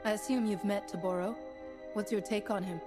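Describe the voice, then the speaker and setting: An actress playing a resolute warrior-monk heroine in a video game, composed, formal and decisive. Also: female voice, mid-range voice, fairly even intonation, brisk, over loud music, lightly acted. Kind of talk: scripted game acting.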